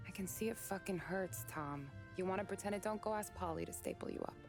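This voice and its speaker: A woman's voice: mid-range voice, fairly quick, over music, lightly acted. An actress playing a tough but tender young working-class city woman, wry and frank, in a cinematic period game. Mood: wry, caring.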